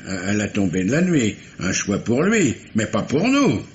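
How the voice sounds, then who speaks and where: A shrewd, patient commissioner in a 1950s French radio mystery. His lively intonation swings as he probes politely, hesitating and humming between questions. The vintage recording is dull, with faint noise. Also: fairly low pitch, normal speed. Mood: probing, matter-of-fact. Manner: hesitant, probing, full of muttered hums.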